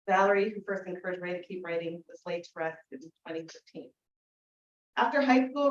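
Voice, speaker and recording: A woman host introducing a reader to an audience, reading a bio with enthusiasm and frequent fillers. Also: medium pitch. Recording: echoey room, far from mic, dull sound.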